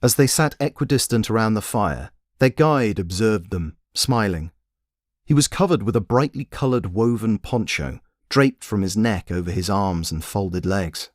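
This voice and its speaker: Fiction narrator: man with a fairly low voice, dry studio-like sound, reading scripted prose. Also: expressive, moderate pace, no room sound. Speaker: author and narrator.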